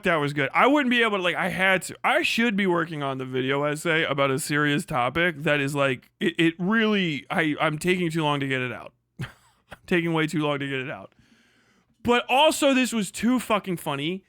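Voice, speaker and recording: Male livestream host: lively swinging pitch, close dry sound, crude joking commentary, stumbles and repeats. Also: clean tone, no echo. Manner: profane, joking, halting and repetitive in delivery.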